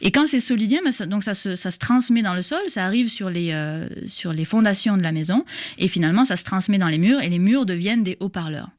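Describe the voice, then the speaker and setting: A woman's voice: mid-range voice, fast talker, a little mellow, no echo, studio quality. A woman in her thirties from southern France, with an accent mixing southern French and Québécois, explaining science as an interview guest and often restarting her sentences with fillers. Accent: southern French mixed with Québécois. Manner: hesitant, many restarts and fillers.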